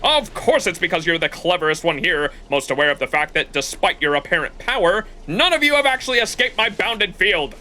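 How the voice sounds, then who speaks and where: A man's voice: high-pitched, big pitch swings, brisk, hardly any pauses, sharp tone, full character voice. A man voicing a flamboyant, boastful old film director villain from a game, with a grandiose, exclamatory delivery. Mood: scheming, theatrical. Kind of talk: acted reading of game dialogue.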